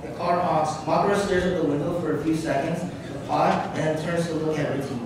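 Male stage-direction reader, brisk, distant in a big reverberant space. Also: on the high side, steady tone, crowd noise. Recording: very echoey hall, distant, noisy.

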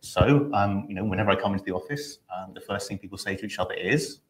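Fast-talking, low-voiced male linguist. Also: slight background noise, poor audio. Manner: relaxed explainer, many fillers like um and you know. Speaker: linguistics researcher. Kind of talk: explaining in a live online session.

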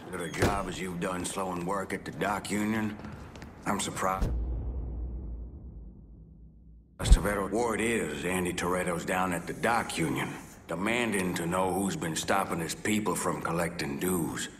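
An actor playing a gruff, bitter working-class dock union man in a video game, blunt and profane. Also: low-pitched, moderate pace, noisy background, natural voice. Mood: wary, blunt.

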